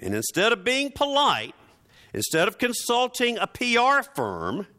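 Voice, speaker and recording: A man's voice: extremely high pitch, wildly swooping pitch, unhurried, bright, forceful tone. A man preaching a sermon in a conversational, wry manner, often posing rhetorical questions.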